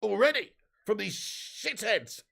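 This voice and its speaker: A man with a hoarse, fading voice, giving sweary, excitable live fan commentary while reading chat. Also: high voice, slow pace. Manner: swearing, excitable, shouts at chances. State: losing his voice. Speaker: fan watch-along streamer.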